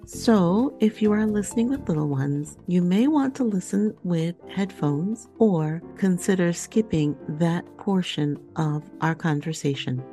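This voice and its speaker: A woman hosting a podcast, reading a scripted introduction and asking interview questions in a clear, deliberate way. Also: fairly low pitch, expressive, dark tone, soft background music. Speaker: podcast host.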